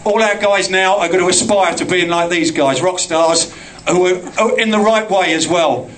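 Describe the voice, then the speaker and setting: A man's voice: sits high, normal speed, fairly bright tone, a little hiss or hum. A man with a Cockney accent giving an earnest, rambling thank-you speech at a community studio opening. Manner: rambling, earnest, self-deprecating. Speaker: project organiser giving a speech.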